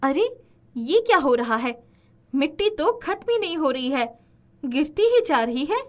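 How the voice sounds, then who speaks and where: A woman voicing a hot-tempered, exasperated wife in a cartoon moral story, shouty and scolding. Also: high voice, very animated, a little slow, pitched above the performer's own voice. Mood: astonished.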